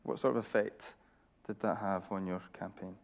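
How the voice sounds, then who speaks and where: A male interviewer with a low, creaky voice hosts a journalistic stage conversation. He is deliberate, breaking phrases to stress each point. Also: fairly even intonation. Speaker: interviewer and host. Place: radio theatre stage.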